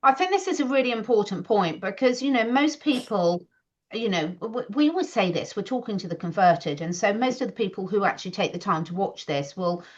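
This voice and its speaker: A woman's voice: medium pitch, animated, brisk. Woman, earnest, slightly muffled. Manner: earnest, emphatic, talks in long runs. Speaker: co-host. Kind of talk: casual video chat. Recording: slightly dull lo-fi audio.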